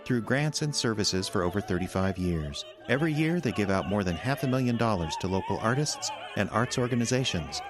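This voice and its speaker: A man reads a sponsor spot in a smooth announcer manner. His voice sits low but moves a lot in pitch. Music plays clearly beneath him. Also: animated, brisk, hardly any pauses. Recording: music bed behind.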